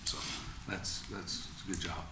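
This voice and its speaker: A man's voice: low voice, steady tone, a little slow. Guy running a public meeting, echoey room, noticeable noise behind him. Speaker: commission chair.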